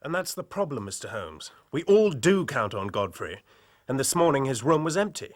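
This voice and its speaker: An actor playing a worried, loyal young English university rugby captain in an audio drama, with hearty, polite public-school speech. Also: male voice, medium pitch, big pitch swings, moderate pace, no echo, clean studio sound.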